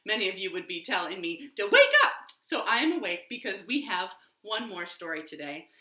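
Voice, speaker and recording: A woman telling an interactive children's story in an animated way, drawing out words, in an echoey living room. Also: medium pitch.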